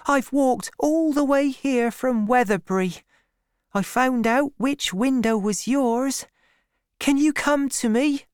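Woman acting out a lovesick young woman, much higher pitch, anxious, pleading, trembling, storybook character. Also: slow, a little mellow, smooth, dry recording, studio quality. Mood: eager, pleading. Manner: shaking, pleading delivery.

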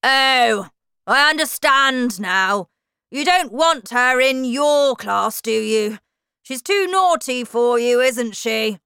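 A woman voicing a huge, furious head teacher who shouts in a loud, dangerous voice. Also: medium pitch, lively intonation, slow, clean tone, no room sound, clean studio sound, pitched above the performer's own voice. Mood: angry shouting.

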